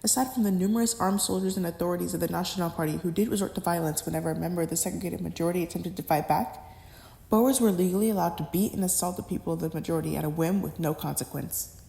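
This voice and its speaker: A woman's voice: lowish voice, quick pace, creaky, dark tone. A young adult woman reading a scripted educational narration as the narrator, recorded in an echoey room.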